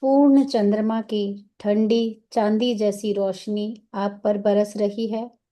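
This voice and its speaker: Slow, mellow woman guiding meditation. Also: mid-range voice, level tone. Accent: Indian. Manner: soothing, unhurried, instructing in short phrases with pauses. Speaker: guided meditation teacher. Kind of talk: guided meditation.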